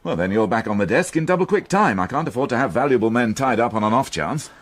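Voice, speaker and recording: An actor playing a dry, harried senior British police officer in a radio drama. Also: male voice, mid-range voice, animated, close to an everyday voice. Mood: brisk, sardonic. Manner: dry, sardonic, brisk authority.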